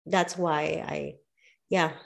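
A woman's voice: deep voice, fairly even intonation, very slow, gravelly. Thoughtful woman with a Spanish accent. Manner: searching for words, thoughtful, earnest. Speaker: movement coach as guest. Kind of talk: casual interview talk.

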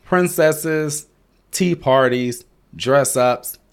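A man's voice: fairly high pitch, drawn-out words, smooth, pitched above the performer's own voice. Man reading as a cheerful little sister. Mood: playful.

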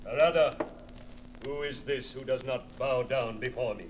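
A man's voice: medium pitch, slight background noise, natural voice. Man acting pompous, menacing desert warlord. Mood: cold menace. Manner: pompous, formal, measured. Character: grandiose, menacing desert warlord and would-be king of Egypt. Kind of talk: old-time radio villain acting.

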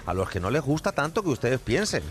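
A man's voice: medium pitch, sing-song, fast, slight background noise. A man with a Spanish accent from Spain, hosting a radio show in casual, joking conversation. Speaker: radio host. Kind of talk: casual humorous radio chat.

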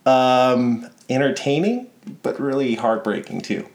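A man whose first language is Polish co-hosting a casual sports podcast, telling things in an easygoing, animated way. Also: medium pitch, a little slow. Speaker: podcast co-host. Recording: echoey room.

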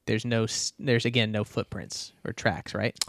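A man's voice: fairly low pitch, level tone, moderate pace, vocal fry, a bit bright, no room sound, clean studio sound. A man playing a tabletop role-playing game, talking things through casually in a careful, deliberative manner.

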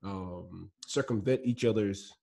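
Husky low-voiced man from Nigeria. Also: unhurried, warm tone. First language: Igbo. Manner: earnest storyteller, laughs between points. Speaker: guest. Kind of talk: casual interview talk.

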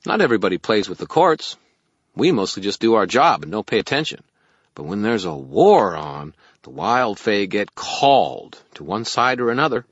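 A man voicing an excitable, impatient tiny male fairy for an audiobook, shrill and exclamatory. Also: moderate pace, slightly rough, no room sound, dull audio. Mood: chirpy, earnest. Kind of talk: audiobook character acting.

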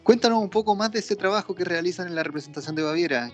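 A man's voice: sits high, fast, a little mellow, dull audio. A man from Chile hosting a podcast, reading a formal introduction and putting questions to his guest. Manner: formal, read-aloud delivery. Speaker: podcast host, trade office representative. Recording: music bed behind the voice.

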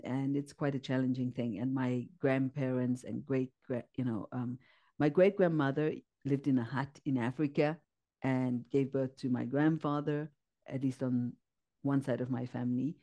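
A woman from Zimbabwe speaks as a podcast guest, with a warm, mellow tone. She is earnest and searches for her words, filling her pauses with fillers. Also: extremely low pitch, steady tone. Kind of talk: casual interview, personal story.

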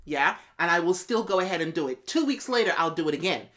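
A woman's voice: lowish voice, expressive, fast, fairly bright tone. A woman in a candid conversation, arguing her point assertively and firmly. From Jamaican family background. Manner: assertive, argues her point, quotes her mother.

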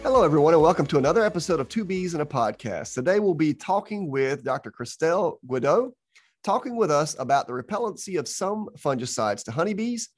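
A man's voice: a little high, very animated, a little fast, no room sound, faint music behind. A man hosting an interview podcast, upbeat and enthusiastic. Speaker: podcast host.

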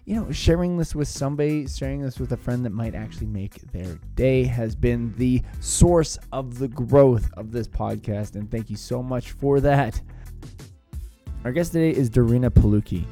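A man's voice: mid-range voice, mellow. A man hosting a podcast, upbeat and welcoming as he opens the show and introduces a guest. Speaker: podcast host.

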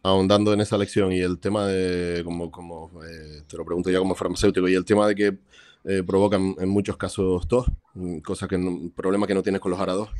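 A man's voice: deep voice, flat delivery, brisk, darkish tone. A man co-hosting, asking questions in a casual interview style. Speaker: podcast co-host asking questions.

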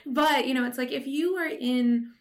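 A woman's voice: sits high, normal speed. A woman interviewing a guest as host, speaking informally in an encouraging, reassuring way. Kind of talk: casual conversational interview.

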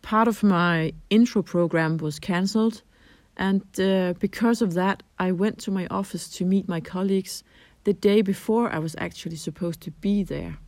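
A middle-aged Danish woman with a Danish accent, answering interview questions in a measured way with frequent ums and restarts. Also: fairly low pitch, darkish tone, no echo. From Denmark. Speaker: translator interviewee.

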